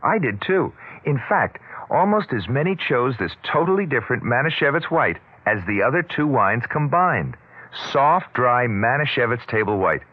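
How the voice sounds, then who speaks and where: Male announcer for a 1970s radio spot, animated intonation, dry recording, thin narrow-band sound. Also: medium pitch, normal speed, no room sound. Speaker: commercial announcer. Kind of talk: scripted 1970s radio ad read.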